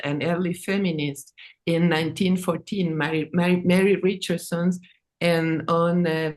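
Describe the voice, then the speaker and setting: A woman, not an English speaker, presenting her own work in a halting, informal way, repeating words. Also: low voice. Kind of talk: informal artist talk and reading.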